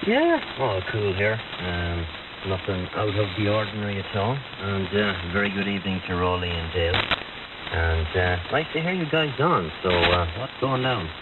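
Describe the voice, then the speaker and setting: A man's voice: deep voice, expressive, moderate pace, phone-quality sound. A man chatting in a casual, laid-back way as an amateur operator over shortwave radio. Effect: shortwave radio transmission. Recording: thin, distant radio signal in loud static.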